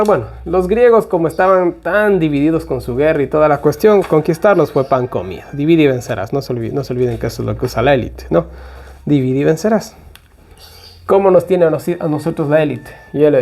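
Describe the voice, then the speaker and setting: A man's voice: on the high side, dark tone. A man from Ecuador giving an informal Bible prophecy talk, rambling and conversational with frequent asides.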